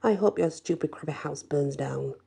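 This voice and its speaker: A woman's voice: deep voice, moderate pace, creaky, dark tone, slightly rough, full character voice. A woman voicing a feisty, sarcastic young female werewolf heroine in a storybook, blunt and sassy. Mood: indignant. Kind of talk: acted storybook dialogue.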